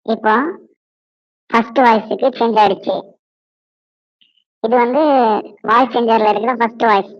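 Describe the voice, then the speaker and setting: A man voicing a female-sounding preset through a pitch-raising voice changer in a product demonstration. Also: flat delivery, normal speed, long pauses, no room sound. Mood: plain, instructive. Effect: electronic pitch-raising voice changer. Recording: dull, dry voice-changer audio.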